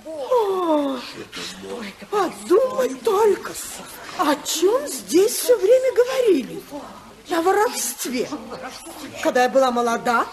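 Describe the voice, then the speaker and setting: Casting: prim older socialite for vintage radio play, chatty, high voice with animated intonation. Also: female voice, slow pace, pauses often, exaggerated voice. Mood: scandalized. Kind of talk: 1950s Soviet radio drama acting. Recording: 1950s radio play recording.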